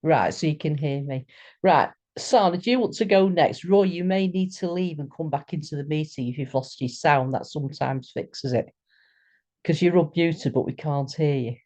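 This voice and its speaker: A meeting chair running an online call, briskly directing who speaks next. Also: medium pitch, darkish tone. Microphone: video call.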